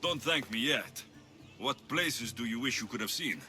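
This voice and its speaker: A man's voice: mid-range voice, animated, moderate pace, pushed and bright, faint music behind. A man playing a kindly, modest young Greek warrior in a video game, plain-spoken and earnest. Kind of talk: video game cutscene acting.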